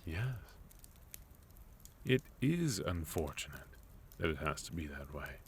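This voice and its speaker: An extremely deep-voiced villain played by a man speaks very slowly and deliberately, as if every phrase were weighed. He is sophisticated and courteous, with a soft menace under the composure. It is an intimate ASMR roleplay delivery over faint background noise. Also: big pitch swings, exaggerated voice. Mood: courteous, probing. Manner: measured, courteous, softly menacing, deliberate pauses. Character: sophisticated, composed male villain, leader of enemy organization.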